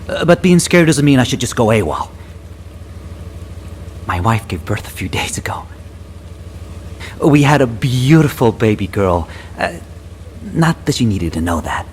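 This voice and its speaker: A man playing an anxious, awkwardly jokey young soldier in a video game, with halting, rambling speech. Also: mid-range voice, big pitch swings. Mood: nervous. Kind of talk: video game cutscene acting. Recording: clear ambient noise behind voice.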